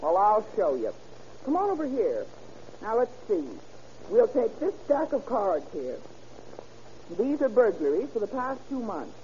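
Assured records clerk, muffled radio. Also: female voice, a little high, big pitch swings, dark tone. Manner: brisk, explanatory. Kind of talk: old-time radio acting. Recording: muffled vintage radio with faint noise.

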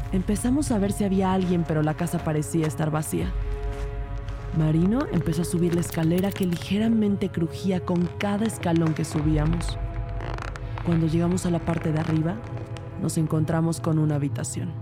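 A woman's voice: fairly low pitch, fairly even intonation, moderate pace, lightly acted. A woman playing a composed police detective who narrates her investigation in a scripted crime audio drama. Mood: tense, hushed suspense. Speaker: narrator and lead character. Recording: loud music behind the voice. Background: music.

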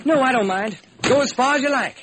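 A man's voice: very high-pitched, fairly quick, noisy background, pitched above the performer's own voice. A man voicing a weary, exasperated American police lieutenant in an old-time radio crime drama. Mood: weary, resigned.

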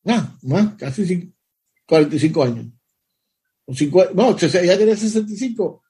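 An older man speaks in a fairly high but mellow, husky voice. He talks bluntly in a casual group chat, stalling and restarting as he hunts for words. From Puerto Rico. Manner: blunt, halting, repeats words. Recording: video call.

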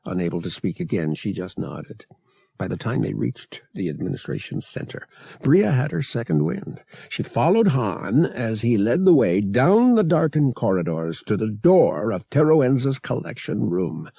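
A male audiobook narrator tells an adventure story in a low, husky voice. He takes his time and swings widely in pitch for drama, with a dry, close sound. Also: very animated, moderate pace, warm tone, no echo. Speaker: audiobook reader.

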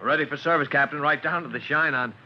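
A man's voice: on the high side, fast talker, close to an everyday voice. A man acting an earnest, stammering young American rookie firefighter, eager and touchy, in an old-time radio drama on a muffled recording. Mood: earnest, respectful.

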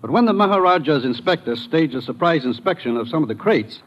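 Radio drama commissioner, husky, fairly high voice, brisk and commanding. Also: male voice, animated. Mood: brisk, dry. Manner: brisk, authoritative. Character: brisk, businesslike male commissioner briefing his agent. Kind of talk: 1950s radio drama acting. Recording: dull old radio recording.

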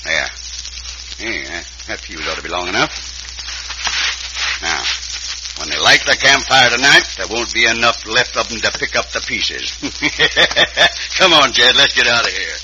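An actor playing a gruff, vengeful American outlaw boss who talks in blunt slang, in old-time radio Western style. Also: male voice, mid-range voice, lively intonation, a little slow, pauses often, close to an everyday voice. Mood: scheming, menacing. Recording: hissy vintage radio recording.

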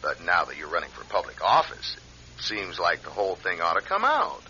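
Sly, mock-friendly middle-aged blackmailer, very sharp and animated, needling. Vintage suspense radio. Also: male voice, low voice, fairly quick, slightly rough, full character voice. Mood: smug, threatening. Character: smug, taunting blackmailer, falsely chummy old acquaintance. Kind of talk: classic radio suspense acting. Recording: dull lo-fi radio, faint noise.

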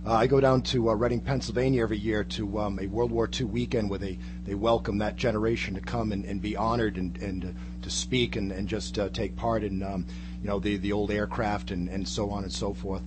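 A man's voice: lowish voice, level tone, a little fast. A man chatting casually as a guest co-host, with hesitant, stumbling starts. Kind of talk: casual chat. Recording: clear background noise and hum.

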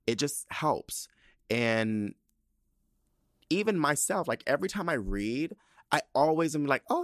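Animated, profane man in his thirties. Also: medium pitch, dry recording, clean studio sound. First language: not Spanish. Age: 30s. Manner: animated and profane, with loose, rambling asides. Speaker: podcast host. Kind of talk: casual solo podcast talk.

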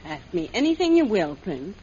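Coy, playful adventuress: animated, lilting, flattering, in muffled vintage radio serial. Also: female voice, mid-range voice, moderate pace, slightly rough. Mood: coy, breezy. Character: coy, breezy adventuress flattering a dangerous prince. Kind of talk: 1940s radio serial acting. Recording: muffled, faintly hissy old radio recording.

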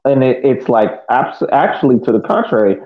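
A man giving casual match analysis as a podcast panelist, thinking aloud haltingly and repeating words. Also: medium pitch, sing-song. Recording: thin, phone-like connection.

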